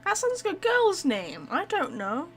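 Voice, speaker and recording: A woman's voice: very high voice, huge pitch swings, a little slow. A woman giving chatty, informal live gameplay commentary, thinking aloud as she goes. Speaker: game streamer.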